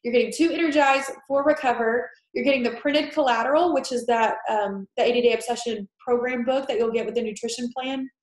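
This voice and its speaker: A woman's voice: fairly high pitch, brisk, hardly any pauses. A young woman with a stuffy head-cold nose, presenting a fitness webinar over a laptop mic in a chatty, filler-heavy, reassuring way. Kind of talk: casual sales presentation. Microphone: laptop mic on a video call. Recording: echoey room on a video call.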